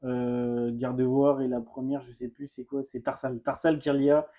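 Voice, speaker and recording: A man's voice: medium pitch, normal speed, mellow. A man co-hosting a casual card unboxing, playful and hesitant, laughing often. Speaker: shop co-host opening card packs.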